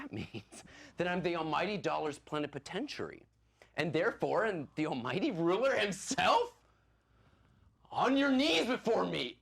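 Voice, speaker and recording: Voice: medium pitch, vocal fry, fairly bright tone, a little hiss or hum. An actor playing a pompous, power-drunk stage player who lords it over a troupe in grandiose, declaiming style. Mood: gloating, grandiose. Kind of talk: theatrical stage play acting.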